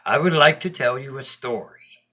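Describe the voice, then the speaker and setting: A man voicing Death as a gentle, wise, solemn storyteller in a bedtime tale. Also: mid-range voice, normal speed. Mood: storytelling.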